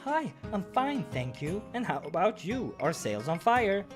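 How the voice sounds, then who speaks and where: A man's voice: high-pitched, very animated, normal speed, pitched above the performer's own voice. A man voicing an eager, polite adventurer hero in a fantasy game, chirpy and well-mannered. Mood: friendly. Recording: background music.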